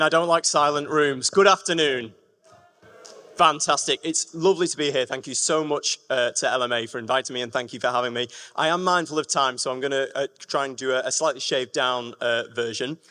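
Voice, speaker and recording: A man's voice: medium pitch, bright, forceful tone. A man with a Lancashire accent giving an upbeat, enthusiastic talk at a conference. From England. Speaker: conference speaker. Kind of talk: public talk. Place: conference room. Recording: reverberant hall.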